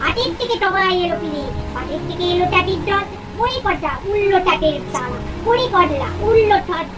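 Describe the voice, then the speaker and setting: A woman's voice: extremely high pitch, lively intonation, moderate pace. A woman voicing a cheeky, bickering child doll in a puppet story, with a sing-song, petulant way of talking. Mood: reciting. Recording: loud music under the voice.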